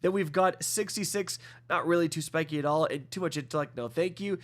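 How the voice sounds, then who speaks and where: A man with a congested nose giving a casual, enthusiastic review of items in a video game, often calling out to viewers as guys. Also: fairly high pitch. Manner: quick, enthusiastic, fillers like guys and uh. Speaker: gaming video host. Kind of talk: casual gameplay review commentary.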